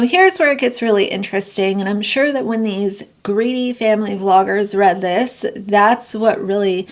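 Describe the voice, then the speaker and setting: A woman with a congested, nasal voice, giving blunt, sarcastic commentary and reading news excerpts aloud. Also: medium pitch. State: congested, getting sick. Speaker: commentary youtuber. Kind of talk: opinionated commentary.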